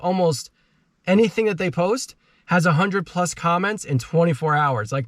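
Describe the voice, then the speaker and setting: Man, fairly high-pitched voice, casual how-to vlogger, slangy, informal, chatty, dry close sound. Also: fairly bright tone, no room sound. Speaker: host of a car DIY channel. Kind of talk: casual how-to vlog talk.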